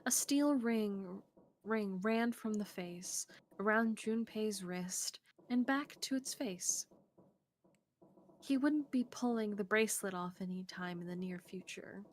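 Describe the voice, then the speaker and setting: A woman's voice: medium pitch, takes it slowly. A woman streamer reading a visual novel's narration aloud, with casual asides. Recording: faint background noise.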